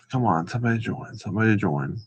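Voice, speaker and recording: Deep-voiced man rambling on a livestream. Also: wildly swooping pitch, moderate pace, slightly rough. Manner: hesitant, rambling, repetitive. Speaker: live stream host. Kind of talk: casual unscripted livestream chat. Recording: dull, roomy livestream audio.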